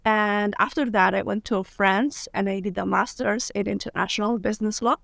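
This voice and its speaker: Gravelly-voiced woman lawyer guest, dry sound. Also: medium pitch, moderate pace, fairly bright tone, no echo. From Morocco, grew up in Spain. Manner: upbeat, candid, laughs easily. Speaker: guest, international business lawyer. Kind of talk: casual interview conversation.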